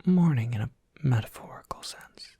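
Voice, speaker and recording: A kindly monster academy professor played by an ASMR performer speaks in a very breathy whisper with a gravelly crackle. The delivery is slow and gently wry, helpful like an academic advisor. It is recorded close and dry. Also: mid-range voice, lively intonation, no room sound. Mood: gentle, helpful. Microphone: close microphone, dry.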